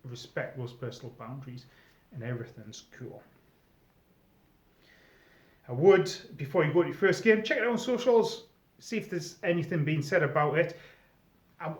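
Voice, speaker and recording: A man's voice: medium pitch, lively intonation, moderate pace. A British man giving informal, chatty advice as a video host, explaining things step by step and checking in with the listener. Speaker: video host, experienced live role-player. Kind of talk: informal advice vlog talking to camera. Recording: roomy echo, slightly dull sound.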